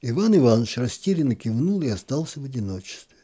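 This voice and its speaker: A man reading a literary story aloud in an expressive, gently ironic storytelling manner. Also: medium pitch, fast, breathy edge.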